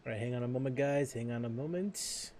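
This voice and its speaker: A man's voice: medium pitch, a little fast, a little mellow, a little hiss or hum. A man streaming a video game live. He rambles casually, with many fillers and restarts.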